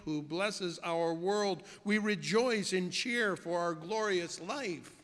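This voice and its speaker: A man with a fairly high voice gives a solemn liturgical reading. His delivery is slow and declamatory, stretching out phrases. The sound carries the long reverb of a church hall. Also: a bit bright. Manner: deliberate, declamatory, with drawn-out phrases. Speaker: minister reading at a church service. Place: church sanctuary.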